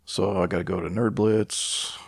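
A man co-hosting a podcast, chatting casually about films in a gravelly voice, rambling and swearing freely. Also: deep voice, steady tone, normal speed, no room sound, clean studio sound. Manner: profane, rambling. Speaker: podcast co-host.

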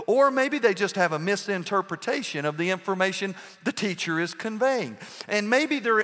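Man, pastor, sermon, emphatic, swooping delivery, long hall echo. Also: sits high. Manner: animated, emphatic preaching with rhetorical questions. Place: church sanctuary. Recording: long reverb in a church hall.

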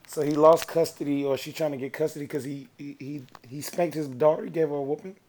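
Raspy-voiced man in casual banter. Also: on the high side, fairly even intonation, fast, a little mellow. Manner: loose and joking, slangy. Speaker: podcast co-host. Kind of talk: casual chat.

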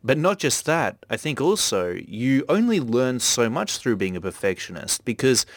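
Male podcast host, mid pitch, dry close sound, chatty and reflective. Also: sing-song, moderate pace, no room sound. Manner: talkative, reflective, many fillers. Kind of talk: casual podcast chat.

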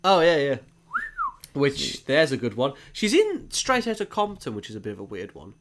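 A man's voice: mid-range voice, moderate pace, noisy background. A man, co-hosting a podcast, joking dryly about films. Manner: wry, joking, lively intonation. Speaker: podcast co-host. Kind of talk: casual film chat.

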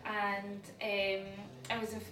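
Bright Northern Irish woman, off-mic. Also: medium pitch, monotone, slow pace, recorded from a distance. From Northern Ireland. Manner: storytelling, acts out past dialogue. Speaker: salon manager. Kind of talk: personal story in an interview. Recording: roomy, away from the mic.

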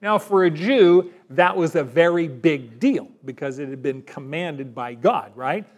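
Man, pastor, high voice, emphatic sermon delivery, rhetorical questions. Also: lively intonation, unhurried.

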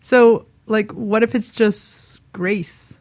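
A woman with a medium-pitched voice chats as a radio co-host. She is reflective and questioning, working through her thoughts haltingly as she talks. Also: steady tone, a little mellow. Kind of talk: casual reflective talk radio chat.

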